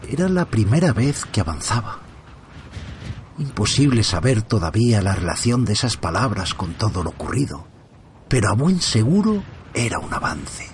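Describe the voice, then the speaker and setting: Casting: middle-aged man, low voice, hushed storyteller, faint street hum behind. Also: sing-song, moderate pace. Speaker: first-person narrator. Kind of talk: scripted horror narration. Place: at home by an open window.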